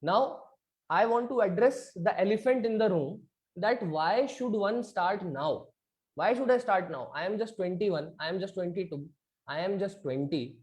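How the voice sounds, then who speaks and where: A man's voice: high-pitched, expressive, moderate pace. A man with an Indian accent teaching an interactive online class, often checking that listeners follow. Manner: checks understanding with frequent tag questions. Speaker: instructor. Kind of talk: interactive online lecture switching between two languages. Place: online video session.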